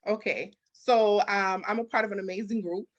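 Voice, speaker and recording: A woman's voice: medium pitch, fairly even intonation, moderate pace, lo-fi sound. Emphatic female coach being interviewed. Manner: emphatic, repetitive, rhetorical questions. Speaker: business architect and mindset coach, interview guest. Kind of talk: conversational motivational interview answers.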